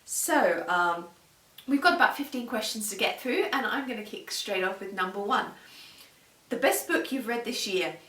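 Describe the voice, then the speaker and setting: A woman's voice: medium pitch, lively intonation, normal speed, pushed and bright. A woman giving a casual, enthusiastic, chatty book vlog to camera. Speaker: book vlogger. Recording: roomy echo, distant microphone.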